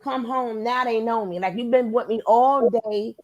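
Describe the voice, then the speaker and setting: A woman with a hoarse, nasal voice hosts a casual group chat, talking in a slangy, familiar way and repeating phrases for emphasis. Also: fairly high pitch, moderate pace, no room sound. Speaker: channel host.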